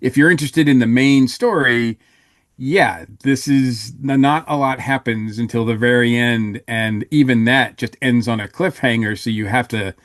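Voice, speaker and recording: A man talking casually as a podcast panelist, halting but enthusiastic as he reviews a show. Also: mid-range voice, a bit bright, dry recording, studio quality. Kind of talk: casual review chat about an audio drama.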